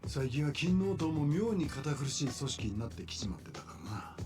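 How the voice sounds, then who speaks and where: Brash, fast-talking swordsman leader. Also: a little high, very animated, music in the background. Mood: brash, familiar. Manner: rough, commanding, blunt speech. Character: brash confident swordsman leader, sworn brother of the hero. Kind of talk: Japanese period game drama acting.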